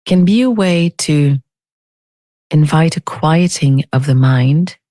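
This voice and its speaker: Female meditation teacher, low mellow voice, very measured delivery, close dry sound. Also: no echo. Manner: gentle, unhurried, with long pauses. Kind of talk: guided meditation.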